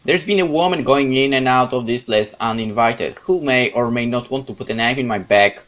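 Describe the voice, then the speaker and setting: A man reads a horror game's story aloud in a medium-pitched voice. He narrates in the first person with dramatic expression, slipping into casual asides. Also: moderate pace, fairly bright tone. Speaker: let's player reading a game aloud.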